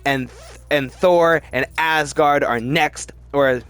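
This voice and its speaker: A man's voice: a little high, steady tone, slow, fairly bright tone, clean tone. A man hosting a casual, opinionated film ranking video, rambling as he goes and often repeating words for emphasis. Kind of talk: casual opinionated movie commentary.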